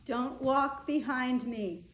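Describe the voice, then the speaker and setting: A woman's voice: sits high, slow pace, slight background noise. A woman performing asides and chant in a staged play reading. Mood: measured. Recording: roomy, echoing live room recording.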